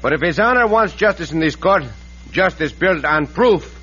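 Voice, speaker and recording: Gallant Mexican cowboy hero, courteous and shrewd, very expressive delivery, vintage radio drama. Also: male voice, high-pitched, moderate pace, husky, heavily acted. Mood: assured, probing. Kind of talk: 1940s-50s radio western acting. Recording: noisy muffled old radio recording.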